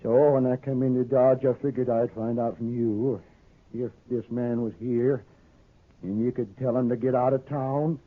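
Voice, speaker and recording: An actor as a weary old farmer, tinny radio. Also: male voice, medium pitch, steady tone, lightly acted. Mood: grimly resigned. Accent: American. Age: older. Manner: plainspoken, slow, halting. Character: weary, grimly determined old farmer hunting his daughter's wronger. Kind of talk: 1950s radio western acting. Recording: muffled, narrow-band old radio recording.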